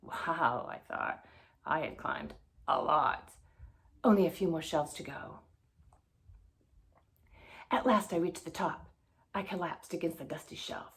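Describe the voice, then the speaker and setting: A woman reading a children's spooky story aloud in an expressive storybook style. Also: sits low, a little slow, long pauses. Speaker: guest story reader.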